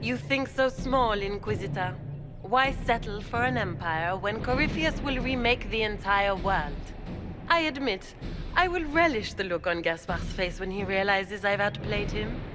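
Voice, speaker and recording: A woman's voice: medium pitch, lively intonation, measured pace, close to an everyday voice. Woman voicing a smug noble villainess. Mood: gloating. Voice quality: silky, condescending. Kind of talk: video game cutscene dialogue. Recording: music playing behind.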